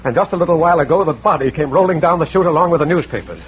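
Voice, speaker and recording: An anxious, earnest suspect who talks fast and urgently. It is forties radio drama, with a muffled old broadcast sound. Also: male voice, fairly high pitch, lively intonation, slightly rough. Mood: alarmed. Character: anxious, earnest man suspected of murder, pleading for help. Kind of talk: 1940s radio drama acting.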